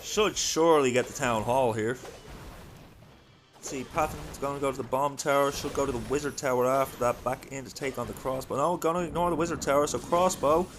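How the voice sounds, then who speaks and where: A man's voice: mid-range voice, a little fast, noisy background. An Irish man hosting a livestream, giving chatty live game commentary and talking directly to his viewers. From Ireland. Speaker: livestream host and esports caster.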